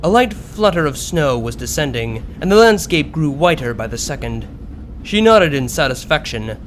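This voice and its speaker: A man reading a story aloud as an expressive narrator, in scripted prose. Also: a little high, normal speed, slight background noise, rough recording. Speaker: narrator of a fan fiction story. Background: background music.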